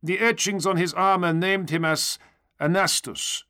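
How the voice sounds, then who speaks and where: A man's voice: high voice, steady tone, unhurried, bright, forceful tone, pitched above the performer's own voice. A man playing a cheerful, chatty foreign soldier in an audiobook, polite and eager, with non-native phrasing. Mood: polite, upbeat. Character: cheerful, chatty, optimistic young male foreign stormtrooper.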